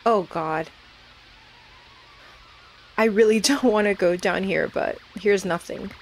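A woman's voice: mid-range voice, slow pace. A woman giving casual, nervous commentary as she plays a horror game, thinking aloud. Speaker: gaming video host.

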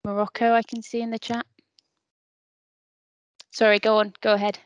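A woman's voice: fairly high pitch, flat delivery, normal speed, no room sound, lo-fi sound. A woman hosting an online event on a video call, polite and encouraging, often repeating words as she talks. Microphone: computer mic on a video call.

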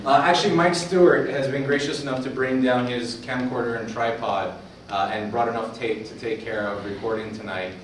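A man's voice: mid-range voice, fairly even intonation, a little fast. A man hosting a community tech meetup, speaking casually and informally to the crowd as he emcees. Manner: casual, repeats audience questions for the room. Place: meetup room with an audience. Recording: echoey room from far off, faint noise.

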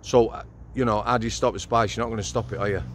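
A man's voice: lowish voice, fairly even intonation, fast talker. Middle-aged man, blunt interviewer, handheld mic, outdoors at night, faint traffic behind. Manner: blunt, prompting questions. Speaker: host interviewing a former prisoner. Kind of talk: walk-and-talk interview. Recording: faint street noise outdoors.

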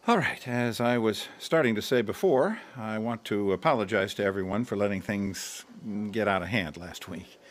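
Man playing an earnest, low-voiced pastor. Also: lightly acted. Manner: measured, explanatory. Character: earnest male pastor leading a Bible study. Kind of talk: radio drama acting.

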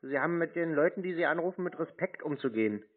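A man's voice: fairly high pitch, animated, fast, breathy edge. A man in a phone conversation, answering curtly and contrarily, giving nothing away. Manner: curt, stonewalling, contrary. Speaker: scam-baiter taking phone calls. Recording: tinny phone-quality audio.

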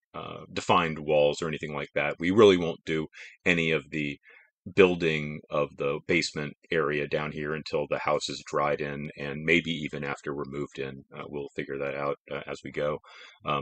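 A middle-aged man calmly explaining his house framing work outdoors on a build site, pausing often with "uh". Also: rumbling low, no echo. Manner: measured, explanatory, frequent "uh" fillers. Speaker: homestead builder. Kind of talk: casual build narration.

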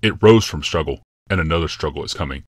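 A man's voice: a bass voice, quick pace, no echo, studio quality. A man voicing a towering, zealous emperor who speaks in solemn pronouncements. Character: grave, towering male emperor obsessed with struggle.

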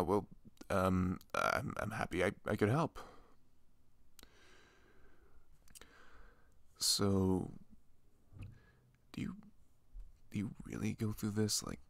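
Guy doing a sweet flustered best friend for asmr, soft and hesitant, really slow talking, very dry close sound. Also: low-pitched, vocal fry, dry recording, heavily acted. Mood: gentle, shy. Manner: hesitant, stammering, gentle. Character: shy, sweet young male best friend, smitten and flustered. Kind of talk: ASMR roleplay, soft intimate comforting talk. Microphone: close microphone.

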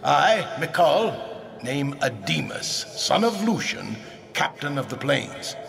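A commanding fantasy war lord, dramatic range. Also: male voice, fairly high pitch, sing-song, takes it slowly, vocal fry, exaggerated voice. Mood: proud, ceremonial. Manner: formal, declamatory, authoritative. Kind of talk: fantasy audio drama acting.

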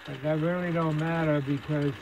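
A man casually narrating a hands-on repair demonstration at his workbench, with an unhurried, folksy manner. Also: a little high, steady tone. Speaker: hobbyist host demonstrating radio repair. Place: indoor electronics workbench. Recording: clear background machine whir and noise.

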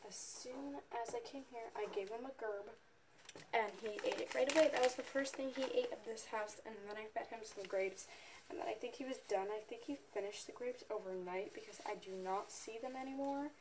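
A woman's voice: a little high, moderate pace. A young teenage girl talking casually and tenderly in a home pet vlog, recorded on a phone. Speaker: vlogger and amateur animal rescuer. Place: indoors in a home living room and bedroom. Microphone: phone, at arm's length or propped nearby. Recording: noisy amateur phone recording.

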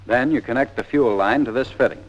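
A man's voice: mid-range voice, brisk. An American man narrating a 1940s instructional film in a plain, step-by-step manner. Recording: dull, band-limited 1940s film soundtrack.

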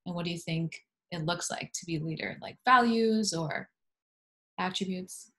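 A woman's voice: on the low side, moderate pace, fairly bright tone. A woman moderating a panel on a video call, encouraging and inviting answers.

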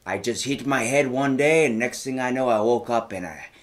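A man's voice: mid-range voice, moderate pace, lightly acted. A podcast host, a man, putting on a fake Scottish accent in joking chat. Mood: hammy. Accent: put-on Scottish. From United States. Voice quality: hammy, joking.

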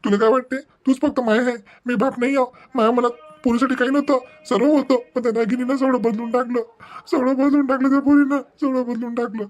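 A man's voice: very high-pitched, steady tone, quick pace, a little mellow. A man voicing a stubborn, hurt middle-aged father in a cartoon family melodrama, agitated and reproachful, with a Vidarbha Marathi accent. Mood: furious. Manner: agitated, reproachful, repeats himself.

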